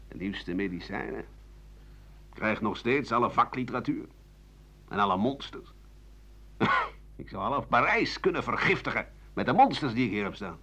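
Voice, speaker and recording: An actor playing a bitter, sardonic old doctor-writer, grumbling theatrically through a radio play monologue. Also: male voice, medium pitch, pushed and bright, husky, exaggerated voice. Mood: mocking boast. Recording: muffled old radio broadcast recording.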